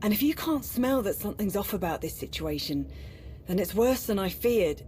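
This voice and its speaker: An actress voicing a frank, worried military major in a video game, earnest and plain-spoken. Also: female voice, mid-range voice, animated, normal speed, warm tone, slight background noise, lightly acted, poor audio. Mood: worried, pleading.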